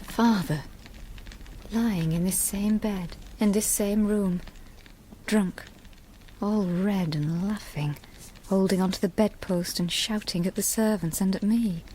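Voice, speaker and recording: An actress playing a brooding, haunted farm wife in radio drama, in a halting, hushed inner monologue. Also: female voice, medium pitch, a little hiss or hum, close to an everyday voice, rough recording. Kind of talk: BBC radio drama acting.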